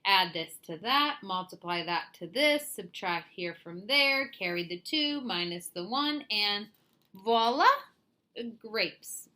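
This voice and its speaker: A woman reading a children's picture book aloud as storyteller, theatrical and deliberate in her delivery. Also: medium pitch, lively intonation, sharp tone. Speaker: storyteller, read-aloud host.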